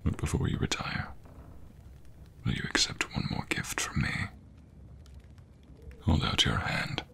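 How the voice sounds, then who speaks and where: A man playing a devoted king in a romantic fantasy ASMR roleplay, speaking tenderly in a breathy, gravelly murmur with formal, courtly phrasing. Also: measured pace, bright, forceful tone. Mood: tender, loving. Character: devoted young king secretly in love with a commoner.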